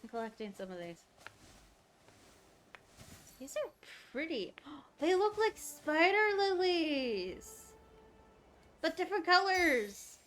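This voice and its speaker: A woman's voice: very high voice, sing-song, takes it slowly, pauses often, a bit bright, faint music behind. A woman game streamer giving casual live commentary, musing aloud to herself as she plays.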